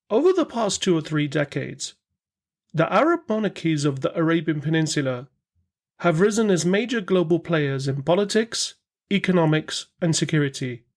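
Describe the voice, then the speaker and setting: A man hosting an interview podcast, reading a scripted intro and then asking friendly questions with hesitant fillers. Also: on the high side. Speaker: podcast host.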